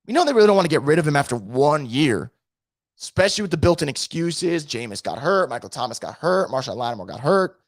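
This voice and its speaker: Hoarse, animated man ranting about football. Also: fairly high pitch, fast talker, no echo, studio quality. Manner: animated, frustrated, swearing. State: losing his voice, feeling sick. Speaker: sports podcast host. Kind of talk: casual live-stream recap reading chat.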